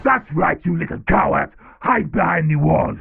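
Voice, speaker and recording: Crackly, gravelly taunting werewolf, filtered. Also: male voice, fairly high pitch, lively intonation, normal speed, no echo, narrow, tinny audio, heavily acted. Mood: mocking. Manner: mocking, menacing taunts. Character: caged male werewolf, snarling, bitter and threatening. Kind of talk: dramatic audio drama acting. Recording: thin, noisy, radio-like band-limited sound.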